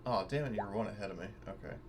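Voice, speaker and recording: Low voiced guy playing an rpg, swears a lot, blunt and casual, noticeable noise behind him. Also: very fast, creaky. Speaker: tabletop game player. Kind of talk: casual banter during online game.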